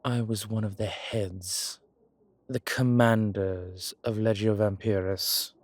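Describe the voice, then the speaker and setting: A man playing an ancient vampire commander in an intimate audio roleplay, speaking with formal, old-fashioned diction and a measured, haughty manner. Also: lowish voice, steady tone, dry recording. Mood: dismissive, proud. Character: ancient male vampire commander, haughty yet tender to his companion. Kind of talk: intimate audio roleplay acting, one side of a conversation.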